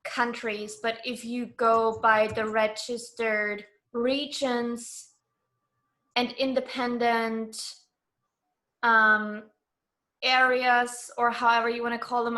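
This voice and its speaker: A woman from Germany as a guest in a casual interview, explaining things in measured detail. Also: a little high, little pitch movement, pushed and bright.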